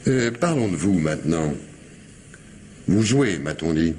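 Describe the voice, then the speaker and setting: An actor playing a shrewd, probing police commissioner in a radio mystery, with a colloquial, wry manner. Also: male voice, low voice, expressive, a little slow, darkish tone, slight background noise. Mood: stern, probing. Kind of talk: French radio mystery play acting.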